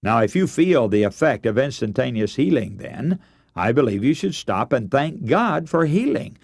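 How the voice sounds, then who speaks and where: A man's voice: on the high side, darkish tone, dry recording, studio quality. A man preaching a radio sermon, earnest and emphatic, telling stories and posing rhetorical questions.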